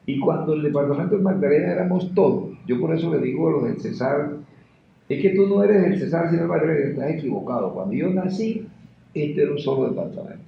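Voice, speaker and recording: A Colombian Caribbean man in his sixties talks in a reminiscing, conversational way, as an interviewee does. His voice has a gravelly fry to it. The recording is echoey and muffled, as if in a bare room. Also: a little high, expressive, fast, a little hiss or hum. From Caribbean river region of Colombia. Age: 60s. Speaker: interviewee, sociologist and teacher.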